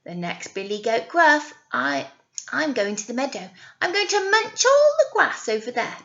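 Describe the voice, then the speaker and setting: A woman's voice: fairly high pitch, big pitch swings, normal speed, a bit bright, dull audio. A woman voicing a middle-sized billy goat. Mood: eager. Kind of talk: children's storytelling.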